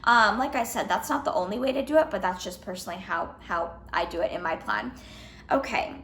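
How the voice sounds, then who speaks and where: A young woman in her twenties, a fitness vlogger answering questions in a casual Q&A, slightly hoarse from losing her voice, chatty with lots of "like" and "um". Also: medium pitch, animated, fairly quick, fairly bright tone, reverberant. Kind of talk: casual Q&A vlog.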